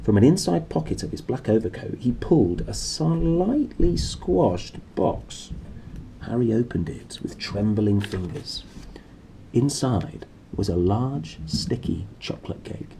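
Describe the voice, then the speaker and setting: A man's voice: medium pitch, animated, a little slow, creaky, dark tone, a little hiss or hum, rough recording. A man reading a story aloud in a theatrical, playful and dramatic way. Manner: theatrical, playful, self-correcting. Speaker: storybook reader. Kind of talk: dramatic, expressive storybook narration.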